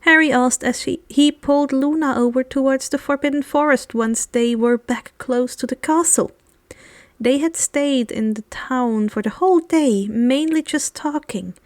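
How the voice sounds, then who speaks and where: A woman with a high voice narrates a story expressively, as if reading a book aloud. She now and then stumbles over a word, and the recording is close and dry. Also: measured pace, no echo. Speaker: fan fiction narrator.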